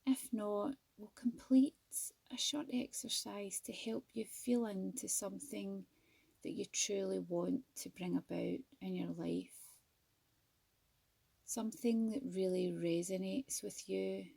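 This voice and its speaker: A woman leads a guided meditation at a very unhurried pace. Her voice is soft and husky, with a creaky edge. She sounds gentle and soothing, leaving measured pauses between phrases. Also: medium pitch, steady tone, no room sound. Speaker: yoga nidra teacher.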